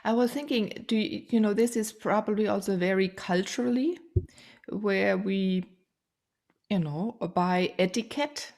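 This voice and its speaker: Woman, German accent, gravelly voice, podcast host, halting and restarting as she talks. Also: medium pitch, darkish tone. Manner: searching for words, many restarts. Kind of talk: conversational interview.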